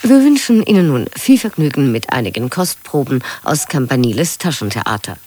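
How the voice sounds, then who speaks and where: A radio presenter reading a scripted introduction in a measured, informative style. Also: mid-range voice, big pitch swings, a little mellow.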